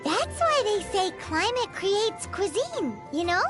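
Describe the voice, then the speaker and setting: A tiny, gluttonous fairy-like girl companion from a video game. The voice is extremely high and squeaky, with an animated melody and an unhurried pace. It is childlike and exclamatory. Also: full character voice. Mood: curious, cheeky. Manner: speaks of herself in third person, exclamatory. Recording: music behind the voice.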